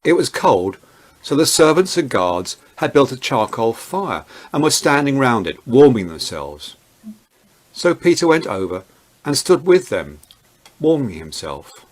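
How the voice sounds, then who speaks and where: Man narrating scripture with lively pitch. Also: unhurried. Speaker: narrator, Bible reader.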